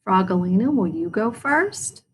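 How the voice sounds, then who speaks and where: A woman playing a kindly, patient female primary school teacher in a storybook, gentle and instructive. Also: mid-range voice, sing-song, unhurried, a little hiss or hum.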